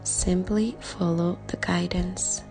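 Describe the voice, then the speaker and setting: A woman leading a guided body scan meditation in a calm, soothing way, speaking in short soft phrases with long pauses and a breathy edge. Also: fairly low pitch, rough recording. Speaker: meditation guide, life coach. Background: background music.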